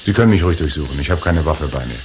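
Deep-voiced hard-boiled tough guy. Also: expressive, rapid-fire, background noise. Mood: cool, tough. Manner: dry, unflustered, hard-boiled. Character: cool, tough, confident gunslinging crime-story hero. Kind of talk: radio crime drama acting.

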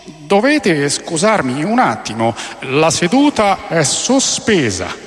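A man voicing a tipsy judge in a radio drama, pompous, genial and rambling, with halting, slurred speech. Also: fairly high pitch, huge pitch swings, long reverb tail, voice pushed up, full character voice. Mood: pompous, befuddled.

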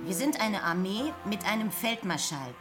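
Casting: woman as bossy revolutionary ideologue, mid-pitched, lecturing in slogans, radio drama with music underneath. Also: sing-song, normal speed, natural voice. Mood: commanding, reassuring. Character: bossy young female guerrilla revolutionary, preachy ideologue. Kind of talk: German radio play acting. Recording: music behind.

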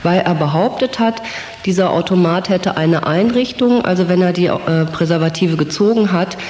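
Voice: mid-range voice, normal speed, darkish tone. A person telling a personal anecdote in a hesitant, amused manner. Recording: echoey room.

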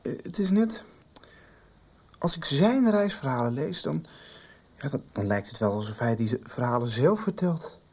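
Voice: medium pitch, animated, normal speed, pauses often, darkish tone, slightly rough. An actor in a 1990s radio soap playing a shy, polite, earnest teenage pupil who stammers hesitantly.

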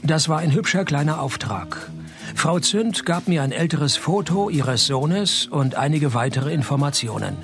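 An actor playing a jaded private detective in a radio play, with a dry, sardonic, deadpan delivery. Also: male voice, fairly high pitch, measured pace, music in the background. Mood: dry narration. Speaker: detective narrator and lead. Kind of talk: radio play narration and dialogue.

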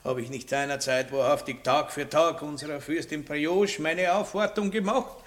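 A man performing a boorish country baron in a 1960s radio play, vain and leering. His voice sits a little high for a man, and he talks in a self-satisfied, pompous way that stumbles over fillers. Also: steady tone, normal speed, fairly bright tone, natural voice. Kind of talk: 1960s radio drama acting.

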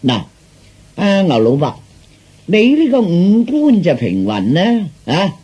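A man acts out a grandiose street fortune teller from a comic audio story. His husky, fairly high voice swings widely as he flatters and haggles. The patter is slow, declamatory and sing-song, drawn out for emphasis. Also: exaggerated voice. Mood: grandiose pronouncing. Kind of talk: theatrical Cantonese comic storytelling.

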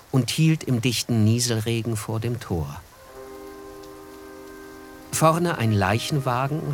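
Reflective literary narrator, melancholy, radio drama, low-pitched, soft music behind. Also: male voice. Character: reflective, melancholy male first-person narrator.

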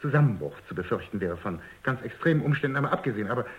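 An actor plays a defensive, condescending scientist in a radio play. His mid-pitched male voice moves widely up and down. The sound is roomy and reverberant. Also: animated, very fast, muffled recording, lightly acted. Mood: testy, defensive. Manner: condescending, stammers when flustered. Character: defensive, patronising male scientist, testy under questioning. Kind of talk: German radio drama acting. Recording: echoey, reverberant radio drama recording.

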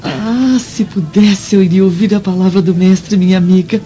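A woman acting as a gentle, resigned Roman senator's wife, mellow and medium-pitched. Her theatrical radio drama delivery is formal and wistful, with faint music and a slightly muffled sound. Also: fairly even intonation, moderate pace, close to an everyday voice.

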